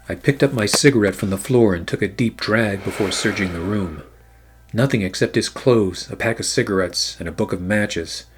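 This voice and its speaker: Man playing a low-voiced, hard-boiled private eye. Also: close to an everyday voice. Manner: deadpan, terse. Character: hard-boiled male private eye narrating, a wry war veteran. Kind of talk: scripted noir radio play narration. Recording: music behind the voice. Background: music.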